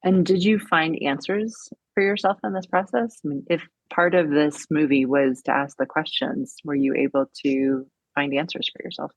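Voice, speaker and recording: Podcast host asking measured questions. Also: medium pitch, fairly even intonation, dry recording, poor audio. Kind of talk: interview questions.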